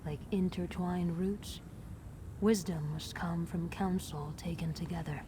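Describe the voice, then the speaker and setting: Woman acting slow, solemn female nature spirit. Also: fairly low pitch, steady tone, lightly acted. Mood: thoughtful, reverent. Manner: measured, formal, reverent. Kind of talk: fantasy video game dialogue. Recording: game ambience noise behind voice.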